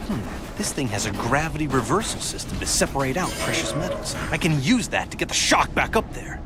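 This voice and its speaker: A sarcastic future superhero. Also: male voice, fairly high pitch, big pitch swings, over loud music. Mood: defiant. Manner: quick, sarcastic, urgent. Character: brash young male future superhero scientist. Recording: game cutscene with loud score.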